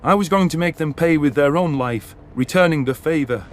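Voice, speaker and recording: A man's voice: fairly high pitch, moderate pace. A man narrating a dramatic first-person science fiction story as an audiobook.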